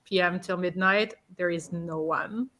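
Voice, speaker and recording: A woman's voice: on the low side, steady tone, unhurried, a bit bright. A woman talking casually as a co-host on a livestream, sharing everyday details with friends. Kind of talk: casual chat.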